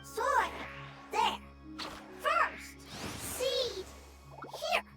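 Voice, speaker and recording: An actress voicing a timid fungus creature in a video game, speaking in broken, halting fragments. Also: female voice, extremely high pitch, animated, distant mic, heavily acted. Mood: instructing. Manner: halting, fragmented short phrases. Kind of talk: video game character acting. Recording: loud music underneath.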